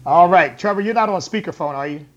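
A man's voice: high-pitched, fast talker, a bit bright, muffled recording. A man hosting a political talk show, emphatic and repetitive, addressing listeners as folks. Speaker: radio talk show host. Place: hotel or venue on tour.

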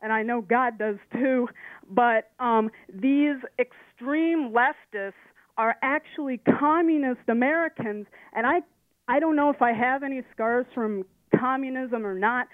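High-pitched woman on telephone. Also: a little slow, no echo. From Romania. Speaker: phone-in caller. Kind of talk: earnest personal call-in remarks. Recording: narrow-band phone line.

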